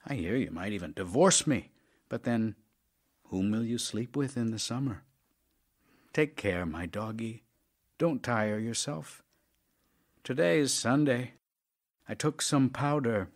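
A man's voice: mid-range voice, animated, slow pace, pauses often. An actor playing an ailing, tender, wry writer reading his love letters aloud in an audio drama, in a theatrical, intimate manner. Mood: teasing, tender. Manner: theatrical, wry, intimate, shifting from emphatic to hushed.

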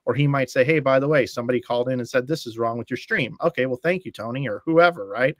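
A man's voice: mid-range voice, fast, a bit bright, no echo. A middle-aged man, a guest bowling commentator on a video call from home, telling long stories and acting out quoted dialogue as he goes. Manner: storytelling, acting out quoted dialogue, restarts phrases. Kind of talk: casual podcast chat. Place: room at home.

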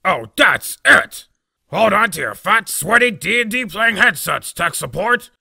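A cocky cartoon wrestler with a short temper. The voice is very gravelly and hard-edged, blustering and sarcastic, with pitch that swings widely. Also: male voice, very animated, unhurried, sharp tone, no room sound, clean studio sound, full character voice. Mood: furious. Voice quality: gravelly, raspy. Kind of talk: cartoon fandub acting.

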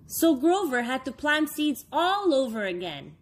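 A woman in her thirties reading a children's storybook aloud, expressively and with deliberate phrasing for young listeners. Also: high voice, very animated. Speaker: story time reader for children.

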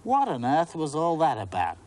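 A man voicing a grumpy fourteen-year-old girl in a slightly raised, brisk voice. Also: on the high side, huge pitch swings, darkish tone, a little hiss or hum, voice pushed up, rough recording. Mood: teasing, grumpy.

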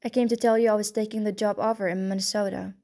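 A woman narrator voicing a remorseful young man who pleads quietly. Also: medium pitch, level tone, fast talker, lightly acted. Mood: pleading.

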